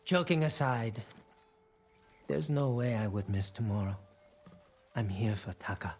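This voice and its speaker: An actor playing a jolly, tipsy, loyal comic companion in a video game, with a jovial way of talking. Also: male voice, lowish voice, lively intonation, a little slow, darkish tone, slightly rough, heavily acted. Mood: sincere. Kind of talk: colourful video game character acting.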